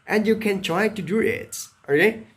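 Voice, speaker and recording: A man's voice: sits high, fairly bright tone. A young man from Vietnam with a Vietnamese accent, talking casually to camera about learning English, exaggerating his pronunciation and tagging lines with "okay?". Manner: deliberately exaggerated, emphatic pronunciation; frequent "okay?" tags and "uh" fillers. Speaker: English-learning video creator.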